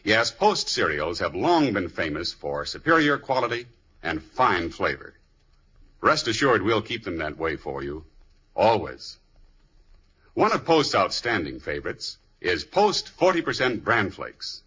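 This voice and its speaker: A man's voice: on the low side, big pitch swings, a little slow, sharp tone, breathy edge. A man delivering a polished, folksy sponsor message in 1950s radio announcer style. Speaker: commercial announcer.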